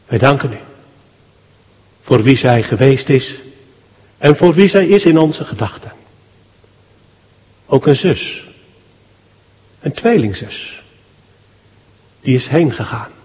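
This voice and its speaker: A man, a pastor, leads a solemn public prayer at a church service in short, measured phrases with deliberate pauses. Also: mid-range voice, expressive, darkish tone, muffled recording. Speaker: pastor leading a funeral service. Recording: long church hall reverb.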